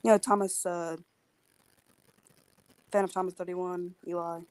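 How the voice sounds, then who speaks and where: A woman guest on a live stream, asking questions quickly and a little hesitantly. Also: lowish voice, level tone, darkish tone. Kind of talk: casual chat.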